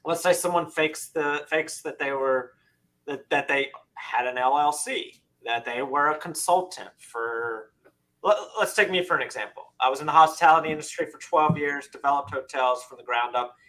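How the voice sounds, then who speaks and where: Male co-host, 30s, bright forceful voice, animated casual panel talk. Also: on the high side, normal speed, poor audio. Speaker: podcast co-host.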